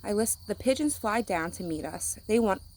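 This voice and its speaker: A woman's voice: medium pitch, lively intonation, normal speed, darkish tone, slight background noise. A woman reading a picture book aloud to children, speaking simply like a teacher to young listeners. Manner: acts out sound words.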